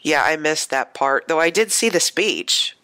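A woman's voice: low voice, expressive, a little slow, sharp tone, dry recording. A woman co-hosting a podcast while she has a head cold, talking in a dry, matter-of-fact way. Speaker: podcast co-host. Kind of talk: casual political chat.

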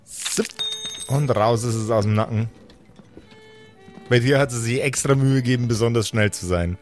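A man's voice: medium pitch, normal speed, over music. A man narrating an improvised tabletop game as game master in a vivid, descriptive way.

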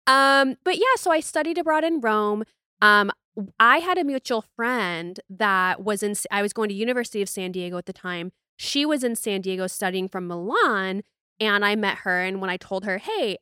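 A young American woman hosting a podcast, chatting and telling personal stories in an informal, animated way. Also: medium pitch, dry recording, clean studio sound. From United States. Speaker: podcast host. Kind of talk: casual chat and personal storytelling.

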